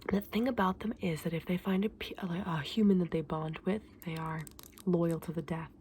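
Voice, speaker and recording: A gentle, scholarly dragon egg saleswoman, played by a woman murmurs in a low, breathy near-whisper. She explains her wares earnestly and a little hesitantly in soft ASMR fantasy roleplay. The recording has audible background noise. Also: steady tone, moderate pace, close to an everyday voice. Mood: soft, fascinated.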